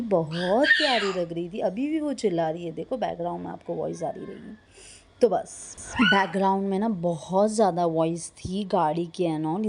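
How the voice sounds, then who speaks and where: A young woman from India narrating a casual daily vlog with a hoarse, gravelly voice, chatty and full of fillers. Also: fairly low pitch, darkish tone. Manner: chatty, mixing in English phrases, many fillers. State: hoarse, sore throat. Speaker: vlogger.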